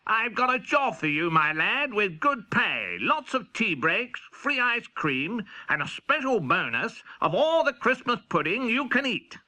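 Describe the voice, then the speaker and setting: A man voicing a grand, jovial king in a children's storybook, proclaiming with drawn-out words. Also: sits high, sing-song, pushed and bright, voice pushed up, full character voice. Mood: pompous, generous.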